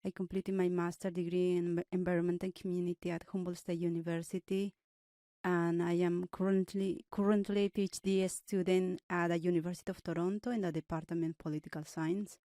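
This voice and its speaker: A woman's voice: fairly low pitch, little pitch movement, brisk, mellow, no room sound, studio quality. A woman from Peru with a Peruvian Spanish accent and Quechua as her first language, speaking carefully as a podcast guest. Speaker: guest scholar. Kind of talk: podcast interview.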